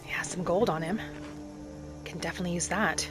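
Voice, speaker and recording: A woman voicing a gentle young wood elf alchemist in a fantasy game roleplay, soft-spoken and musing to herself without hurry. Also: on the low side, brisk, over music, lightly acted. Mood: thoughtful. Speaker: player of a solo fantasy roleplay video series. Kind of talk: in-character game narration.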